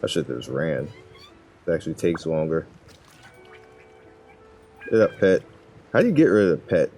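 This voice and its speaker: A man's voice: very deep voice, big pitch swings, moderate pace, dark tone. A gaming YouTuber, a man, giving casual gameplay commentary with wry, grumbling asides over game audio. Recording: game audio with background music.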